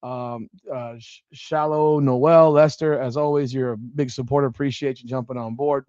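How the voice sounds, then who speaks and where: A man in his forties hosting a casual sports podcast, animated and swearing freely as he rambles like a fan. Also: mid-range voice, moderate pace, no echo. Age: 40s. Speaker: podcast host. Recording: dull dry lo-fi sound.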